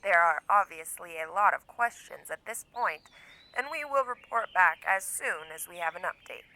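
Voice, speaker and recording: A composed female news anchor, played by an actress in an audio drama. Her formal voice is bright and crisp-edged, with faint noise behind it. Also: on the low side, expressive, normal speed, low-quality recording. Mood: composed, apologetic.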